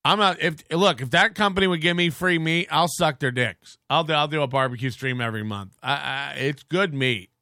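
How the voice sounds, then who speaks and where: Bright-voiced crude male podcast host. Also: a little high, normal speed, few pauses, no echo, clean studio sound. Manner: crude, joking, meandering with frequent restarts. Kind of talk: casual rambling chat.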